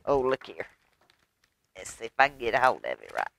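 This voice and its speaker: A woman reading a picture book aloud at storytime for young children, animated, with chatty asides to her listeners. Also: very deep voice, fairly quick, creaky, dry recording.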